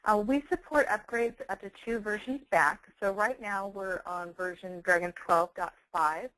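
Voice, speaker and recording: A woman giving an explanatory software presentation in a measured, careful, professional way. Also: mid-range voice, fairly even intonation, dry recording, low-quality recording. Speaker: webinar presenter, software company representative.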